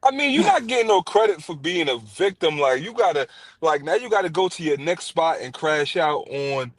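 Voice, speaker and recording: A man's voice: on the high side, expressive, normal speed, no room sound. A man guest in a casual podcast chat, speaking bluntly with streetwise slang.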